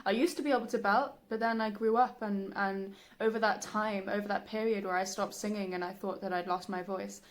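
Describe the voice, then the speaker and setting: A young woman with a soft, breathy voice, talking reflectively and haltingly in a personal vlog. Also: mid-range voice, fairly even intonation. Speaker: singer, video creator.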